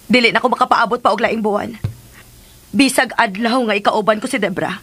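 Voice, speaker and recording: Radio drama heroine: young expectant Cebuano mother, high-pitched, earnest, caring, resolute. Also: fairly quick, a bit bright, slightly rough. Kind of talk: Philippine radio drama acting.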